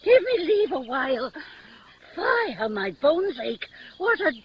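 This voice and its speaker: Grumbling old nurse, swooping voice. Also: female voice, very high-pitched, big pitch swings, a little slow, full character voice. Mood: weary complaining. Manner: grumbling, dramatic moaning. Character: garrulous, teasing, weary old nurse woman. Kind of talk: 1950s radio Shakespeare acting. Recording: muffled, noisy old radio recording.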